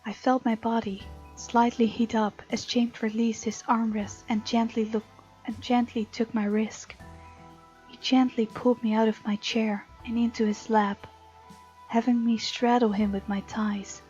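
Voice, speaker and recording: A woman voicing a bold, tender young heroine who narrates a visual novel romance in a breathy, often whispery voice. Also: on the high side, little pitch movement, a little slow, darkish tone, close to an everyday voice. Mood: intimate, hushed. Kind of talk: hushed dramatic reading of a visual novel. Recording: muffled lo-fi mic, music underneath.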